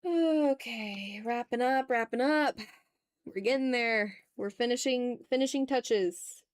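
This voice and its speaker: A woman with a fairly high voice, recorded very dry and close. She chats informally like a livestream host absorbed in drawing, muttering self-critically to herself and to her viewers. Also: takes it slowly, no echo. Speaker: streamer hosting an art livestream. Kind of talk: casual chat while drawing.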